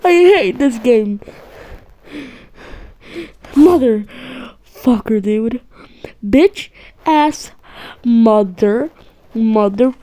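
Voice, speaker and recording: Female performer as obsessed, irritable stick-figure inventor, comedic fan dub; slow muttering, swearing, mellow tone. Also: fairly high pitch, animated. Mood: furious, desperate. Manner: muttering, profane. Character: frustrated, obsessive cartoon stick-figure inventor desperate for revenge. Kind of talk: improvised comedic fan voiceover of an animation. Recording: music playing behind the voice. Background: background music.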